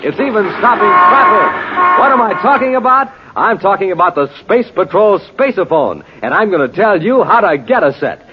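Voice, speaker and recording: A man announcing and pitching in 1950s radio style, excited, emphatic and scripted for a hard sell. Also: moderate pace, loud music behind the voice. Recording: dull, faintly noisy 1950s radio recording.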